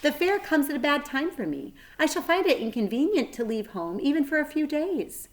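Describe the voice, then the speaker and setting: A woman's voice: sits high, sing-song, normal speed, pitched above the performer's own voice. A woman voicing a wise, self-assured female spider in a children's storybook, precise and matter-of-fact. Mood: reluctant. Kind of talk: children's storybook read-aloud.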